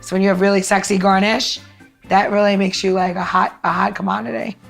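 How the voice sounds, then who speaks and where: Woman podcast cohost, lots of vocal fry, just chatting, little bit of music under it. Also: medium pitch, little pitch movement, a little fast. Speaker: podcast co-host. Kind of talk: casual chat.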